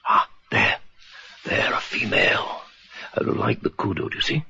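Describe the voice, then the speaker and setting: An actor playing a resigned, middle-aged husband in a radio drama, hesitant, polite and fatalistic. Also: male voice, normal speed, sharp tone, no room sound. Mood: quietly absorbed. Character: doomed, resigned British husband living in mountain retreat. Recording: muffled lo-fi radio drama recording.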